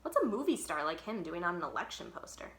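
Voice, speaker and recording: A woman voicing an excitable, pert young schoolgirl in a children's storybook, speaking with emphasis. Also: on the low side, quick pace. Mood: surprised, excited. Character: young schoolgirl friend, excitable, quick to retort.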